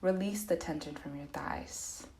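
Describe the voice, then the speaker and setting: Female meditation guide, gentle and soothing, very slow pacing, slightly low register, informal delivery. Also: creaky.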